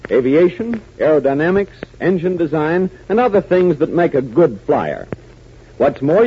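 A man's voice: on the high side, slightly rough. An American man announcing and narrating a radio adventure serial in a dramatic, emphatic 1940s style. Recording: muffled, hissy 1940s radio recording.

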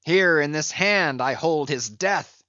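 A man's voice: on the high side, unhurried, sharp tone, no echo, highs cut off, voice pushed up. A man voicing a fierce, defiant old whaling captain in an audiobook, declaiming archaic speech with commanding force. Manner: archaic, declamatory, barking orders.

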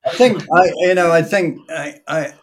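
Reflective man, halting then fluent. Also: on the high side. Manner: reflective, hesitant starts, extended metaphors. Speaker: guest author. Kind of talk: casual podcast conversation.

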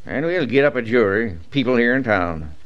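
An actor playing a tough, stubborn small-town sheriff in a radio western, with gruff, folksy frontier speech. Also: male voice, mid-range voice, lively intonation, moderate pace, fairly bright tone, lightly acted. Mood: stern, dismissive. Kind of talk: old-time radio western acting. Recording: dull vintage radio broadcast.

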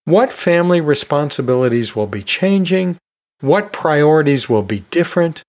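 A man hosting an educational podcast, giving advice as a teacher in measured, deliberate phrases with emphatic pauses. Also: on the high side. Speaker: podcast host and anatomy and physiology teacher.

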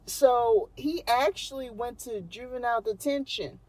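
A woman's voice: on the high side, unhurried, darkish tone, dry recording, rough recording. A young woman with a Texan accent, casually telling a true crime story as a podcast co-host, laughing often. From Texas. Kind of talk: casual chat.

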